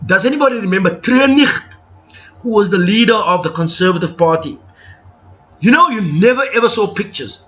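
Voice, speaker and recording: A man's voice: sits high, pushed and bright. A middle-aged South African man with a South African accent, hosting a live stream with rambling, opinionated commentary full of restarts and asides. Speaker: livestream host. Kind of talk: unscripted live commentary to viewers. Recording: dull lo-fi stream with faint music.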